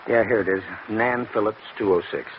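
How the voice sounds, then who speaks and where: An actor playing a polite, concerned business executive in an old radio drama, earnest and deferential. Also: male voice, fairly low pitch, brisk, close to an everyday voice. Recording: muffled, noisy old radio recording.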